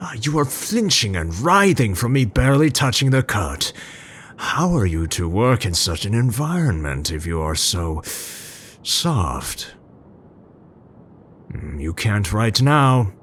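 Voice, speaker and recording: A man playing a tender, scholarly scientist in an intimate ASMR roleplay, speaking formally and haltingly. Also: fairly low pitch, big pitch swings, a bit bright, slight background noise, exaggerated voice. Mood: gently stern. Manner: halting, formal, professorial, hesitant pauses. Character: tender, scholarly Dutch male scientist, brooding but gentle.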